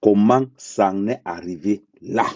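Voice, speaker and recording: A man speaking bluntly in an unscripted live monologue, repeating phrases for emphasis and talking slowly and deliberately. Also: on the low side, darkish tone, no echo. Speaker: social media commentator. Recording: dull lo-fi dry sound.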